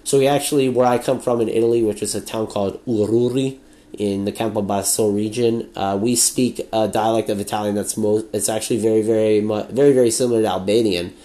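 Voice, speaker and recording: A man in his twenties from Montreal, hosting a solo podcast in a candid, rambling way, swearing freely and filling pauses, a little sniffly with a cold. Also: fairly low pitch, fairly even intonation, dark tone. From Montreal, Canada. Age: 20s. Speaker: podcast host. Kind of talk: casual solo podcast monologue.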